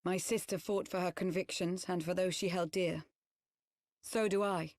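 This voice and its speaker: A woman playing a composed, earnest ex-spy turned loyal knight in a video game cutscene, speaking in formal, measured, archaic phrasing. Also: fairly low pitch, fairly even intonation, a little mellow, no echo, studio quality, lightly acted. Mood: firm resolve.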